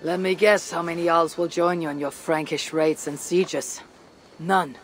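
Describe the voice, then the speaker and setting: Actress as stoic Viking clan chief, a woman; husky and low, few words, commanding, for game cutscenes. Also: level tone, a little slow, soft background music, natural voice. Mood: skeptical. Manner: terse, commanding. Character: stoic, hardened female Viking clan leader. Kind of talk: video game cutscene acting.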